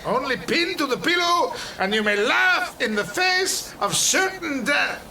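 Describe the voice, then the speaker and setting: An actor playing a sly, ingratiating travelling charm peddler with florid, deferential patter in an audio drama. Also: male voice, very high voice, expressive, unhurried, creaky, pushed and bright, slight background noise, full character voice. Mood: salesman's patter. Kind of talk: theatrical audio drama acting.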